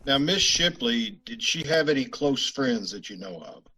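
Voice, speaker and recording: A man playing a calm, courteous police detective questioning a witness in old-time radio style. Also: medium pitch, normal speed, low-quality recording. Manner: level, courteous, businesslike questioning.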